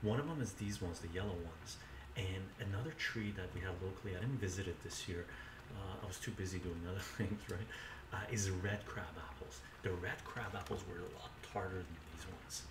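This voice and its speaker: Older guy on a cooking stream, easygoing chatter, low raspy-fry voice, roomy kitchen sound. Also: a little fast. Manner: chatty and easygoing, narrating his steps aloud. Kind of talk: casual chat while cooking, reading chat. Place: home kitchen, indoors. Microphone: camera mic some distance away. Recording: roomy, distant, noisy kitchen stream audio.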